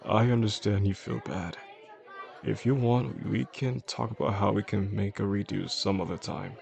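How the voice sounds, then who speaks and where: A man playing a possessive, soft-spoken boss in an intimate audio drama, talking gently and with control straight to the listener in a low, gravelly voice. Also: a faint crowd. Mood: tender, coaxing. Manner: slow, soothing, gentle, controlled. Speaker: voice actor.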